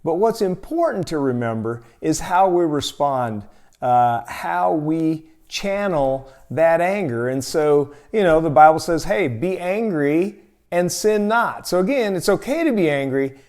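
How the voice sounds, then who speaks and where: An American man telling a personal story in a casual, folksy, expressive and conversational way as a storytelling host. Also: a little high, measured pace.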